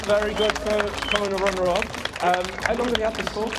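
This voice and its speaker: A man's voice: sits high, fairly even intonation, fast, heavy background noise. A man compering an outdoor car show award, coaxing and rallying the crowd, with a chesty cough. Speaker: car show presenter and video host.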